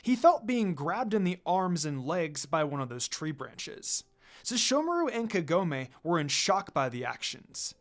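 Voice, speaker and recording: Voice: mid-range voice, big pitch swings, unhurried. A reader narrating a story in a dramatic amateur style.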